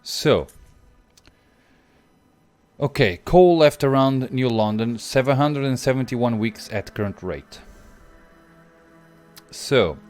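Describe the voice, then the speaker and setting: A man's voice: mid-range voice, animated, takes it slowly, pauses often, a little mellow, soft background music. A young Portuguese man with a Portuguese accent, thinking aloud through a strategy game on stream and chatting with viewers. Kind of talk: live gameplay commentary.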